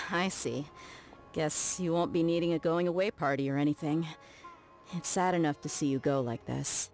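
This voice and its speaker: An actress playing an easygoing, confident young mercenary woman in a video game, with a relaxed, teasing, friendly manner. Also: deep voice, normal speed, soft background music. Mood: warm, teasing.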